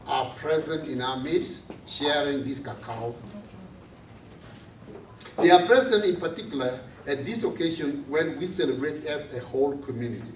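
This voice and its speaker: A priest from South Sudan, giving a measured, earnest talk to a student gathering. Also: male voice, a little high, slight background noise. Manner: slow, measured, earnest speaking. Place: large room on a college campus. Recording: echoey room from far off.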